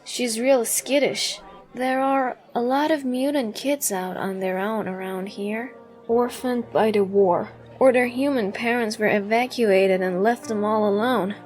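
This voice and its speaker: A woman's voice: fairly high pitch, a little slow, a little mellow, soft background music, natural voice. A woman voicing a caring female snow fox who runs a shelter, casual and concerned, in a comic dub.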